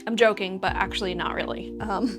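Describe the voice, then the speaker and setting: A woman's voice: medium pitch, steady tone, fairly quick, over music. A young woman from Argentina hosting a casual livestream, chatting with viewers in a self-teasing way and laughing often. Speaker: livestream host.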